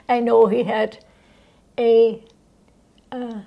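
An elderly woman from Austria recalling her life at home in an unscripted way, halting as she searches for words. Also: fairly high pitch, level tone, gravelly, highs cut off. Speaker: interviewee recounting life history. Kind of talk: unscripted reminiscence. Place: indoors in own home.

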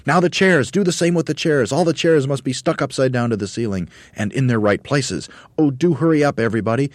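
A man voicing an excitable, bossy monkey leader in a storybook, shouting urgent commands. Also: low voice, brisk, no room sound, voice pushed up, lightly acted. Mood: frantic, urgent.

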